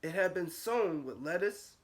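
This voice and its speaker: A man's voice: high voice, lively intonation, a little slow. A man reading a storybook aloud to young children as a nursery teacher, with a sing-song delivery that rises and falls.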